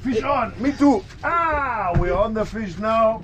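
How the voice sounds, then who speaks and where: Older guy narrating a boat fishing trip, keeps saying slowly slowly, slow talker, heavy sea and engine noise. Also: very high voice, animated. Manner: coaching, repeats short calls. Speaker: fishing guide filming the trip. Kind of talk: excited running commentary. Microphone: action camera on board. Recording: noisy boat and sea wind.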